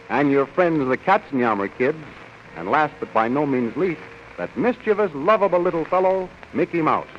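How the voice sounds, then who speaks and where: A man's voice: fairly high pitch, highs cut off, slight background noise. An American man delivering a promotional announcement in theatrical, enthusiastic 1930s radio style on a vintage recording.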